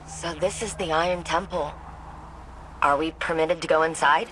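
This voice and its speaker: An actress playing an earnest, inquisitive young scientist in a video game. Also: female voice, low voice, fairly even intonation, normal speed, heavy background noise, lightly acted. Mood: curious. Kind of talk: scripted video game cutscene acting.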